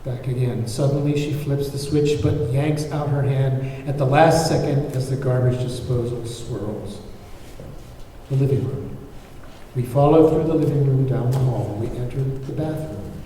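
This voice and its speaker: A man's voice: medium pitch, unhurried, dark tone. A man reading scene descriptions and stage directions as narrator of a screenplay table read. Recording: very echoey hall, distant mic, faint noise.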